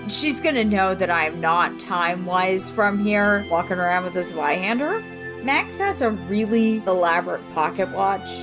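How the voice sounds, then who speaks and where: A woman speaks at a medium pitch as a role-playing game player, describing characters. There is clear music behind her. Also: expressive, moderate pace.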